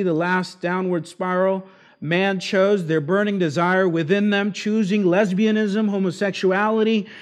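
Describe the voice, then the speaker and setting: A man with Argentine roots preaching an expository sermon, slowing down to stress key words. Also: sits high. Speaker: pastor.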